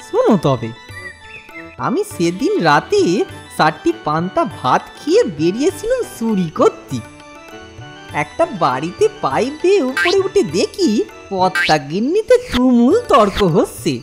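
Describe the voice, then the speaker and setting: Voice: medium pitch, wildly swooping pitch, unhurried, soft background music. An actor voicing a cheeky, simple-minded male thief in a comic cartoon, with a whining, folksy, mock-humble way of talking. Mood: storytelling.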